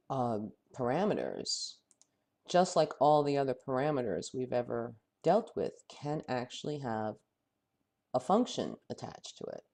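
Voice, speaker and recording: Man with a head cold teaching software. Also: a little high. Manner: thinking aloud, halting and self-correcting. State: head cold, losing his voice. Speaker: software instructor. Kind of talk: screen-recorded software tutorial.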